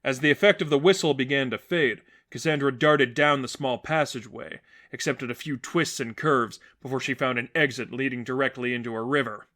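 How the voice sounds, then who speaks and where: A man with a medium-pitched voice narrates a piece of fiction. He reads from a script in a dramatic audiobook manner. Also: a little fast, hardly any pauses, sharp tone. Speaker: narrator reading fan fiction.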